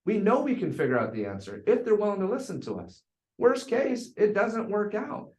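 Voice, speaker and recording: A man's voice: on the high side, darkish tone. A man giving a coaching talk to a group, speaking bluntly and emphatically and repeating phrases for emphasis. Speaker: real estate sales coach. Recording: echoey room.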